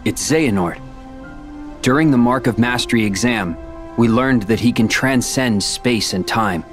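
An actor playing a serious, resolute young warrior in a video game, speaking earnestly. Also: male voice, medium pitch, unhurried, faint music behind, natural voice. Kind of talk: video game cutscene acting.

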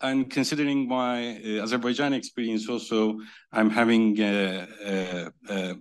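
A middle-aged man from Turkey with a Turkish accent, giving a semi-formal conference speech as a panelist in a halting, hesitant way with frequent pauses. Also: on the low side, fairly even intonation, clean tone. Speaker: conference panelist, company representative. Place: conference room, seated at long panel table.

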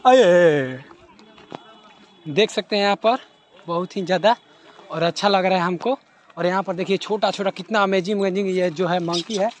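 A young man with a high voice narrates a travel vlog outdoors into a phone held at arm's length. He talks in a lively, excited way, and loud outdoor noise runs under every word. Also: normal speed, pauses often. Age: young adult. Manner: excited, calling out and cheering. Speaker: travel vlogger. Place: outdoors by a river and waterfall. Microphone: phone selfie at arm's length. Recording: noisy outdoor phone recording.

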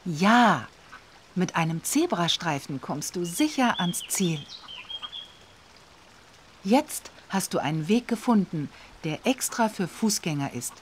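A woman's voice: on the low side, sing-song, takes it slowly, slight background noise. A woman voicing an encouraging, watchful guide in a children's game, emphatic and instructive. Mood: approving. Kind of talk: children's educational game voice-over.

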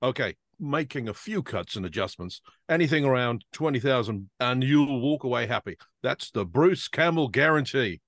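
A man's voice: medium pitch, animated, moderate pace, hardly any pauses, a bit bright, no room sound, studio quality. A man on a video call reading the part of a pushy wedding planner in his thirties, with a salesy manner.

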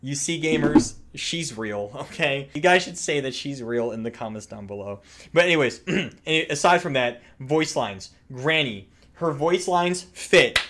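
A young man giving joking, slangy, excitable gaming commentary. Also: fairly high pitch, unhurried. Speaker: gaming YouTuber.

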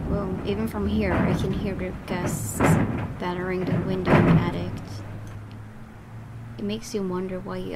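A woman's voice: medium pitch, heavy background noise. A woman hosting a let's play, reading game narration aloud as dramatic storytelling, with asides. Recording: loud game ambience behind the voice.

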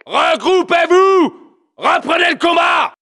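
An actor playing a fierce soldier who barks urgent, commanding battlefield announcements in a military game. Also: male voice, very high voice, animated, slow pace, heavily acted. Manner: shouting, commanding.

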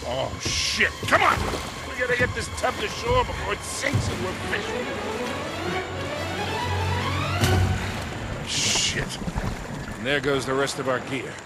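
An actor playing a gruff, wisecracking, middle-aged treasure hunter in a video game cutscene, with a grumbling, salty manner. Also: male voice, sits high, very animated, normal speed, over loud music. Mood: exasperated.

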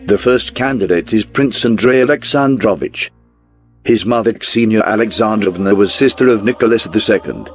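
A man reading scripted documentary narration in a formal, measured newsreel style. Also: fairly low pitch, husky. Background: background music.

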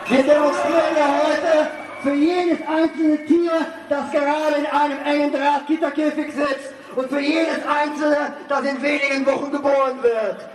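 A man with a very high voice makes an impassioned protest speech outdoors. He is heard from a distance, with echo and a crowd around. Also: level tone, measured pace. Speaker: demonstration speaker. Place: outdoors at a demonstration. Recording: echoey outdoor rally, distant, noisy crowd.

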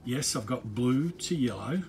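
A middle-aged man giving a casual, off-the-cuff DIY walkthrough, halting and rephrasing as he explains, recorded on a handheld phone. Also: medium pitch, expressive. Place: on a boat. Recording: handheld phone recording, clear ambient noise.

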